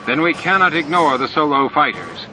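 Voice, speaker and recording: A man voicing a fierce, gruff male alien commander in a science fiction audiobook, growling terse military orders. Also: fairly high pitch, very animated, moderate pace, over music, pitched above the performer's own voice, poor audio. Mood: commanding, urgent.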